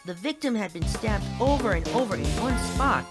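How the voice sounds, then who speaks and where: A man voicing an excitable, friendly cheetah police officer testifying in a fan audio drama, chatty and earnest. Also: high voice, normal speed. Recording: loud music under the voice. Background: loud music.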